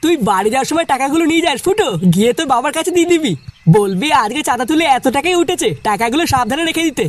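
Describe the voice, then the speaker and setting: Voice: sits high, animated, racing. A performer voicing a bossy young village club leader in a comic cartoon, businesslike and coaxing.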